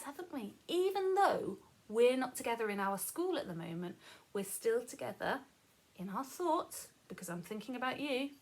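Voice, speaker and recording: A woman teacher reading a children's story aloud with chatty asides, in a gentle, encouraging, sing-song manner. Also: on the high side.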